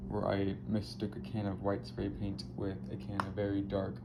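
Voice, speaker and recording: A man with a raspy voice talking casually about his finished spray painting, hesitant with many pauses and fillers. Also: fairly low pitch, monotone, background noise. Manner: hesitant, many ums and restarts. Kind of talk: casual video commentary. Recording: muffled audio with loud music behind. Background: loud background music.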